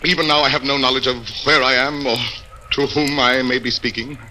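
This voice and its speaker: A man's voice: medium pitch, steady tone, a little fast, noisy background, close to an everyday voice. Anguished captive officer played by a man, vintage radio. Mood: weary, pleading. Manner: dramatic, anguished. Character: weak, tormented captive French army captain, anguished yet defiant. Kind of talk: 1940s radio acting. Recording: vintage radio recording under music.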